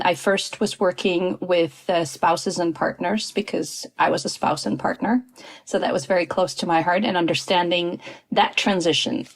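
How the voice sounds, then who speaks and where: A middle-aged woman who grew up partly abroad and has Polish as her first language, speaking thoughtfully as a guest in a conversational podcast interview, with frequent ums and uhs. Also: medium pitch. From Poland. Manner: thoughtful, earnest, many fillers. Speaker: guest, expat adjustment coach.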